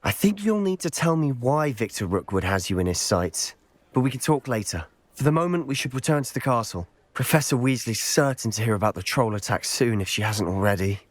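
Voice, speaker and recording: A young man performs a teenage wizard schoolboy for a fantasy game. His voice sits at an average pitch. He is inquisitive and cautious, with a brisk, concerned manner. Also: lively intonation, lightly acted. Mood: friendly.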